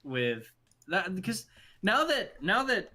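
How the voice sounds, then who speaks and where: A man co-hosting a casual movie discussion, talking in an animated, opinionated, emphatic way. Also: high-pitched, slow pace. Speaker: podcast co-host.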